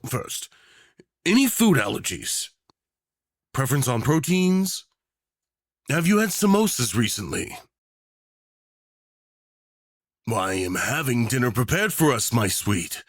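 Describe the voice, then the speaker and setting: A man's voice: mid-range voice, breathy edge, dry recording, clean studio sound. A man playing a doting, unhinged rich tyrant in an obsessive romance roleplay, theatrical and saccharine, teasing with pet names. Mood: sweetly teasing. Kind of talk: yandere ASMR roleplay acting.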